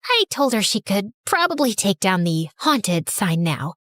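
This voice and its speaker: A woman narrating a scripted animated story in the first person as a teenage girl, expressive and dramatic. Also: medium pitch, a little slow, dry recording, studio quality.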